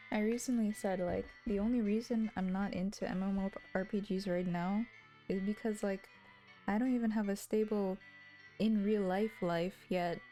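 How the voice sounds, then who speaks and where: A young woman with a slightly husky voice narrating a story and breaking off into giggly chatter. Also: medium pitch, steady tone, normal speed, warm tone, faint music behind. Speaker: streamer reading a visual novel aloud. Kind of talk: scripted narration with casual asides.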